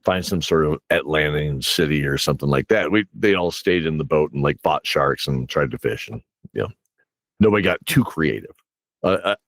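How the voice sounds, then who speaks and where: A man's voice: extremely low pitch, expressive, normal speed, no echo, clean studio sound. A man hosting a casual podcast interview, telling game stories in a rambling way and repeating words as he thinks. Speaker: host and game master interviewing a player.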